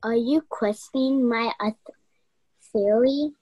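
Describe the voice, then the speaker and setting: A self-important female detective from a radio mystery, acted by a young woman. She speaks slowly and with authority, in a husky voice, pausing for drama. The recording is close and dry. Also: a little high, mellow, no echo. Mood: irritated. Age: young adult. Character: bossy, self-important senior detective, impatient with rookie. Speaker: actor in a youth theatre radio play. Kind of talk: radio play acting.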